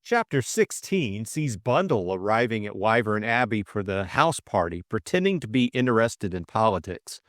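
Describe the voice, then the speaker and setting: A man's voice: lowish voice, big pitch swings, moderate pace, few pauses, a bit bright, no room sound, studio quality. A man reading a scripted story recap and novel as an audiobook co-narrator, in dramatic style.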